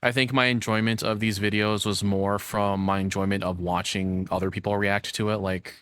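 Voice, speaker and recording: A man's voice: lowish voice, little pitch movement, moderate pace, a bit bright, smooth, no room sound, studio quality. A man giving casual, off-the-cuff reaction commentary as a streamer, rambling and blunt.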